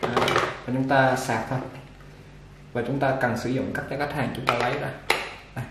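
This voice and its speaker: A man with a mid-range voice walks through an unboxing at an easygoing pace, pausing with fillers while his hands are busy. The room sounds echoey, and a clipper motor buzzes clearly behind him. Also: medium pitch. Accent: Vietnamese. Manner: relaxed, talks while handling the product, many fillers. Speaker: product reviewer for a barber supply shop. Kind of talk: casual unboxing demonstration. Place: indoor shop table with clipper boxes. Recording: echoey room with machine noise. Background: clipper motor buzz and clicks.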